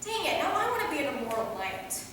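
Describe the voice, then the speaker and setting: A woman playing a brash, excitable young vampire with slangy, sarcastic delivery in a comic staged reading. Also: high-pitched, fast. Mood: excitable, silly. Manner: slangy, sassy, exclamatory. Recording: echoey hall from far off, noisy.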